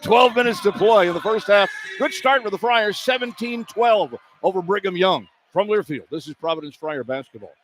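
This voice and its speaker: A man with a fairly high voice calls basketball on live radio as the play-by-play announcer. He speaks from courtside, with clear arena noise behind him. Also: moderate pace, hardly any pauses.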